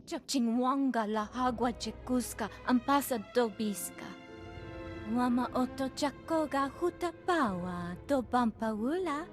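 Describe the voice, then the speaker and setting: A woman's voice: a little high, expressive, a little slow, music in the background. An actress voicing a female alien who speaks an invented tongue in a video game. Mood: insistent.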